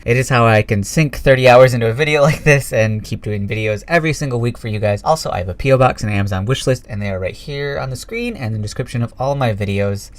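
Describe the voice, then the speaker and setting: A man hosting a YouTube video, speaking in an informal, self-deprecating way as he narrates and chats. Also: mid-range voice. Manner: informal, self-deprecating, animated when reading. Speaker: YouTube host reading fan fiction. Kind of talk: casual YouTube narration and chat.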